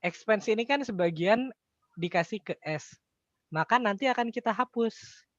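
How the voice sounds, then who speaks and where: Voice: mid-range voice, expressive, normal speed, no echo. An Indonesian-accented tutor talks in a casual, colloquial way, walking through material step by step. Rhetorical questions break up the explanation. The sound is dry and slightly dull, with very little room in it. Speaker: accounting tutor.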